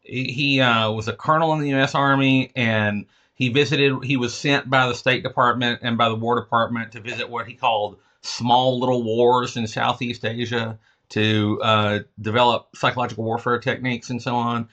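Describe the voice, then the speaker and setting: A man with a bright, forceful voice and a lisp. He talks as an interview guest, learned and emphatic, often breaking off to restate his point. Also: mid-range voice, steady tone, normal speed, highs cut off. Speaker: interview guest, fiction writer and teacher. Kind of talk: conversational literary interview, discursive explanations.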